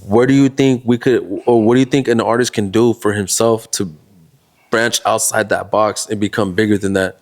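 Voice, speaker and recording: A man from the Los Angeles area hosting a casual interview, talking in loose, filler-heavy sentences. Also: fairly low pitch. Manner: relaxed, drawn-out phrasing, heavy filler, swearing. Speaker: interview host.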